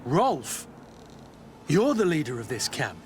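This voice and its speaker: An actor playing a brooding, suspicious veteran soldier in a video game, with a terse and blunt way of talking. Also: male voice, a little high, wildly swooping pitch, slow, a little hiss or hum, heavily acted. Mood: reserved. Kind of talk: video game dialogue acting.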